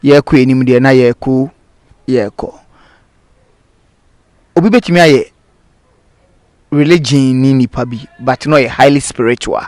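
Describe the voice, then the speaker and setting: A man with a Ghanaian accent and Twi as his native language, hosting a casual interview with halting, hesitant phrasing. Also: medium pitch, fairly even intonation, a little mellow, dry recording. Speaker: interviewer, host.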